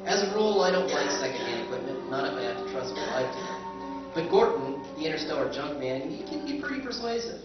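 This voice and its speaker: A man's voice: on the high side, sing-song, fairly quick. A man playing a wry spaceship-buying narrator. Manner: dry storytelling narration. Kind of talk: live stage recreation of 1950s radio drama. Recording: echoey hall from far off, dull, music behind.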